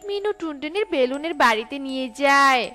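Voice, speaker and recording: A woman narrating a children's cartoon story in a lively, sing-song way, with a Bengali accent, over children's music. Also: high voice, brisk.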